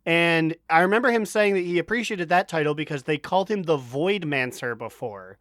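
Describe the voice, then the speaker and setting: Higher-voiced man in casual podcast chat. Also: animated, fairly quick, a bit bright, dry recording, clean studio sound. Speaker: podcast co-host.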